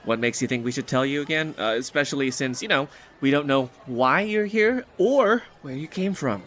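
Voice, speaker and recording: A man's voice: medium pitch, expressive, normal speed, fairly bright tone, close to an everyday voice. A man voicing a guarded, brooding teenage boy in an audio drama, hesitant and defensive. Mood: suspicious, flustered.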